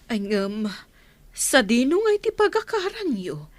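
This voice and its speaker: A woman playing an anxious, caring motherly figure in radio drama, husky, with an Ilocano accent. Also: a little high, sing-song, a little slow, dry recording.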